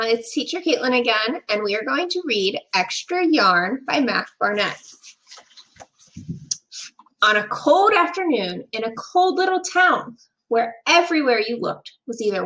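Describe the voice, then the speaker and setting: Woman, storytime teacher, high bright voice, very expressive picture-book read-aloud. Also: a little slow, poor audio. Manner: expressive, emphatic storytelling to young children. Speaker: teacher reading a children's storytime.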